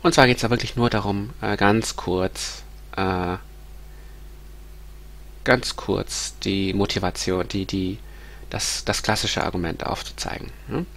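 Congested male lecturer, 30s, hesitant and halting, gravelly texture, desk mic. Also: on the low side, a bit bright, a little hiss or hum. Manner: hesitant, many ums and restarts. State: stuffy nose from a cold. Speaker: university lecturer. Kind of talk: recorded lecture with slides. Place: small room at home.

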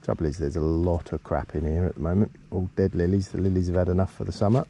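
A man speaks in a low, mellow voice, slightly affected by a cold. He chats in a relaxed, half-to-himself way as an angler filming his outing, with faint outdoor ambience behind him. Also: fast, gravelly, a little hiss or hum. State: has a bit of a cold. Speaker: angler filming a fishing vlog. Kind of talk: casual chat while fishing. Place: outdoors on a riverbank. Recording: outdoor action camera with background ambience.

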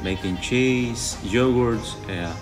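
A man's voice: medium pitch, takes it slowly, music in the background. A young man from Panama, a goat farmer giving brief, listing answers in an interview. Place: wood-panelled indoor room.

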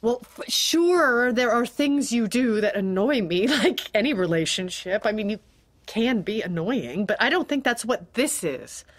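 A woman's voice: fairly high pitch, expressive, unhurried. An actress playing an anxious wife who pleads with her husband in an audio drama. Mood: awkward, candid. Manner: emotional, pleading, nervous laughs. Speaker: actor.

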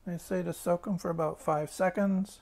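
A man's voice: sits high, fairly even intonation, normal speed, creaky, darkish tone, slight background noise. A man giving a casual, hands-on demonstration as a hobbyist, thinking aloud a little hesitantly. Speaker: hobbyist restorer presenting a tutorial.

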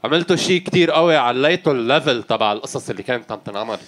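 A young man hosting a hip-hop radio podcast, hyped up and switching between Arabic and English, on a boom mic in a small studio. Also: expressive, racing, fairly bright tone. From Lebanon. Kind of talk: casual podcast interview.

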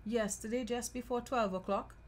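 A woman's voice: on the high side, fairly even intonation, moderate pace, natural voice. Woman reading emphatic storybook townsfolk. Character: gossipy stout market woman and townsfolk in folk tale.

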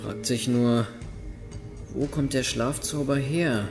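An earnest young acolyte healer acted by a man in a fantasy audio drama, with a medium-pitched voice. He is a thoughtful companion, heard in long reverb with music clearly behind him. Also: slow pace. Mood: thoughtful, uneasy.

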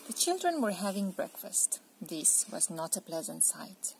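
A woman reading a children's picture book aloud, telling the story expressively and deliberately. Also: fairly low pitch, very animated, background noise. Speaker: storybook reader.

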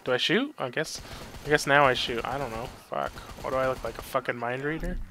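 A man's voice: medium pitch, brisk, pushed and bright, slight background noise. A man giving casual, sarcastic video game commentary, grumbling and swearing freely. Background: game sound effects and ambience.

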